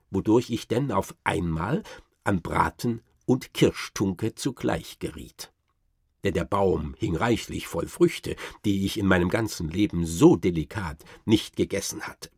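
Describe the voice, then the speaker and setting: A man playing a boastful nobleman huntsman who tells tall tales with theatrical, self-satisfied emphasis in a radio drama, his voice gravelly and husky. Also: deep voice, a little mellow, no room sound, studio quality, full character voice. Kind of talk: dramatic literary storytelling for radio.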